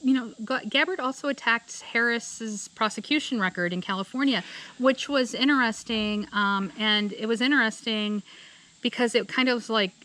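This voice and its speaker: A woman's voice: fairly high pitch, moderate pace, bright, forceful tone, low-quality recording. Woman in casual political podcast chat. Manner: conversational, many fillers and restarts. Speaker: podcast co-host.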